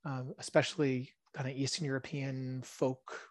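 A man in his thirties, born in Israel and a native Hebrew speaker, talking as a podcast guest in a musing, soft-spoken way that often trails off into quiet asides. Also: mid-range voice, level tone, moderate pace. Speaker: podcast guest, tabletop game designer. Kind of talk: casual interview chat.

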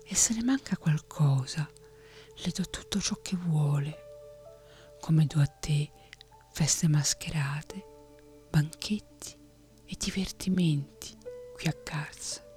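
A woman whispering the part of a stern, proud king in a fantasy audiobook, low and slow. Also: darkish tone, faint music behind. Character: stern proud king, older man, commanding.

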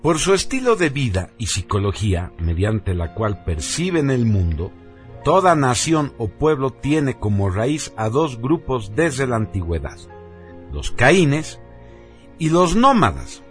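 A man's voice: mid-range voice, sing-song, unhurried. A man reading a scripted radio narration in a solemn, emphatic, declamatory manner. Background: background music.

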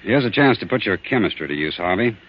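Steady, grave doctor, vintage radio play: low voice, dull lo-fi sound. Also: male voice, fast, husky. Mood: businesslike. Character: calm, grave, authoritative ship's doctor. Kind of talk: 1940s radio drama acting. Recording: dull, hissy old radio recording.

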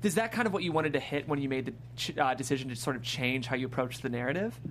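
A man's voice: medium pitch, fast, fairly bright tone, slight background noise. A man hosting a casual interview, affirming his guest and asking wordy questions. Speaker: interview host.

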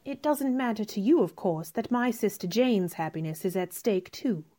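A woman playing a spirited, outspoken young gentlewoman in a radio drama, with a pointed, forthright manner. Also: medium pitch, animated, moderate pace, lightly acted. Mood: cool, pointed.

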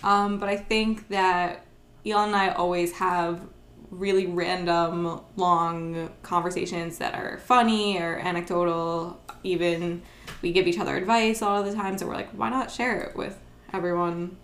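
A woman's voice: lowish voice, fairly even intonation, normal speed, a bit bright. Teasing eighteen-year-old woman, roomy sound. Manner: teasing, laughs often. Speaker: podcast co-host. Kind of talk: casual sibling chat.

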